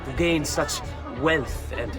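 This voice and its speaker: A man's voice: fairly high pitch, slow, music in the background, a crowd in the background. An actor playing a haughty, scornful nobleman in a video game, disdainful and gossiping. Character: haughty, scornful Italian nobleman, a political schemer.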